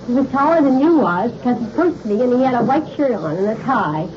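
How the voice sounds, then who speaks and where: A woman's voice: fairly high pitch, animated, quick pace. A middle-aged woman, nervous and shaken, giving an unscripted eyewitness account to police inside a house. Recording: muffled, noisy 1950s field recording.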